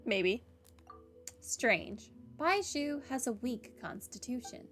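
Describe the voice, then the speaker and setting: Higher-pitched woman playing through a game. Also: expressive, takes it slowly, a bit bright, soft background music. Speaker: game playthrough streamer. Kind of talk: reading and reacting to game dialogue.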